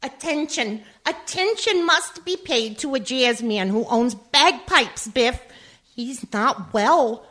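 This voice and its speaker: A woman's voice: sits high, expressive, slow pace, a bit bright, heavily acted. A woman playing an earnest, devoted, melodramatic wife and mother in a comic radio play. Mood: grave, melodramatic.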